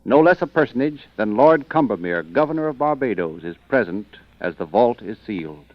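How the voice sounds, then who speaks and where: A man narrating an old-time radio mystery from a script, dramatic and portentous, with weighty pauses. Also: medium pitch, expressive, dry recording. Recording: muffled old radio recording. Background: prominent music.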